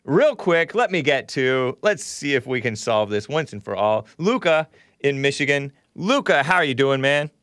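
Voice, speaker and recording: Male call-in host, 30s, mid-pitched, casual talk-radio chat, close dry studio mic. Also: very animated, moderate pace, fairly bright tone, no echo. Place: small studio. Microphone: broadcast microphone on boom arm, close.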